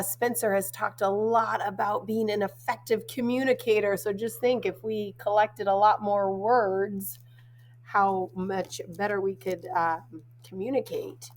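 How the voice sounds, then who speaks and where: A woman teacher with a cold, her voice raspy and hoarse, reading a picture book aloud to children. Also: medium pitch. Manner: slow, deliberate read-aloud with stressed words.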